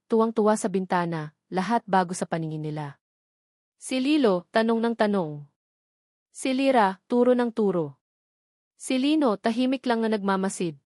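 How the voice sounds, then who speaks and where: A woman with a Filipino accent reading a first-person dramatic love story in an emotive way, with short deliberate phrases. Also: mid-range voice, animated, a little mellow, no echo, studio quality. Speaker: story narrator.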